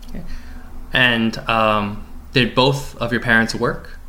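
A man's voice: medium pitch, fairly even intonation, slow pace, sharp tone, low-quality recording. A young man, a graduate student, conducting an oral history interview with polite, formal questions.

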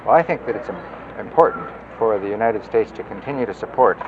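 A man campaigning for office and answering a voter's question in measured political phrasing, with a few stumbles. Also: fairly low pitch, animated. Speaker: political candidate. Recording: lo-fi outdoor recording with street noise.

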